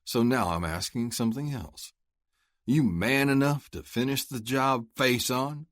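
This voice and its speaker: A man's voice: mid-range voice, measured pace, no echo, clean studio sound, pitched above the performer's own voice, lightly acted. A man voicing a cool bounty hunter who goads and taunts his foe. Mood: goading. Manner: taunting, goading, steady.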